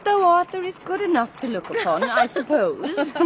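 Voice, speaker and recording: An actress playing a playful, genteel and lovelorn young gentlewoman who flusters easily, in a period radio drama. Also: sits high, sing-song, measured pace, a crowd in the background. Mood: teasing. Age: young adult.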